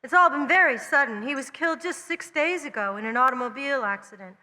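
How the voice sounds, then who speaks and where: A woman's voice: sits high, fast talker, pushed and bright. A woman playing a prim, matter-of-fact housekeeper type on the telephone in a radio drama, formal and polite. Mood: grave, matter-of-fact.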